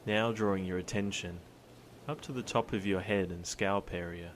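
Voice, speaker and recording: Man, deep voice, meditation guide, soothing and gentle, long pauses, soft ocean surf in the background. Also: fairly even intonation, slight background noise. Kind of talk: guided body scan meditation. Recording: surf sounds behind the voice. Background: ocean surf ambience.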